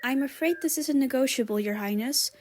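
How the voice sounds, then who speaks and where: A courteous female palace servant in a fantasy audio roleplay, acted by a woman. Her voice sits high, and she speaks with formal, deferential politeness. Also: fairly quick, a little mellow. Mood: deferential, firm.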